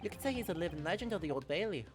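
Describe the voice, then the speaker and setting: A woman's voice: lowish voice, lively intonation, rapid-fire, over music, close to an everyday voice. A woman voicing a blustering rich male rogue in an attempted Irish accent, theatrical and folksy, for a game. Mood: ominous.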